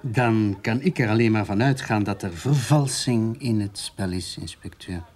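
A man's voice: fairly low pitch, normal speed, darkish tone, slightly rough. A male actor playing a deferential police sergeant who plays along with his superior in a radio play. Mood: obliging.

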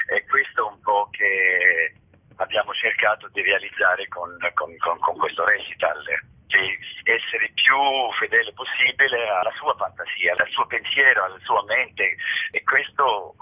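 A man interviewed on radio as an actor, explaining a project haltingly and repeating words as he searches for the next one. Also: low-pitched, slightly rough. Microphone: telephone. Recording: tinny phone line on radio.

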